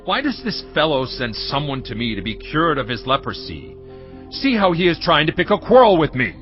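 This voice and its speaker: An exasperated, suspicious king of ancient Israel, voice slightly high and swinging dramatically. He declaims indignantly in a scripture drama, a little dull in sound over clear music. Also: wildly swooping pitch, normal speed. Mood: indignant, alarmed. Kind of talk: dramatised audio Bible acting. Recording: muffled audio with music behind.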